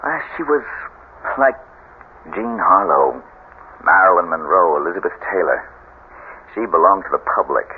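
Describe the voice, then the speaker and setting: An actor playing a brooding, commanding husband with a secret, in the style of a 1970s radio drama. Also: male voice, low voice, expressive, moderate pace, long pauses. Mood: uneasy. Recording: tinny, band-limited old radio with faint hiss.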